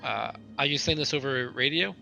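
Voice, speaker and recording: Bright-voiced man at a tabletop game. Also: mid-range voice, normal speed, creaky. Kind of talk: casual in-character game chat.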